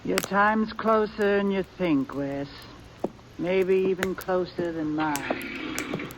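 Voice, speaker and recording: A performer in a 1950s radio western voicing a grim old grandmother who speaks in a slow, foreboding drawl. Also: on the low side, background noise. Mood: ominous. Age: older. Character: grim old granny, ominous. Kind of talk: 1950s radio drama acting.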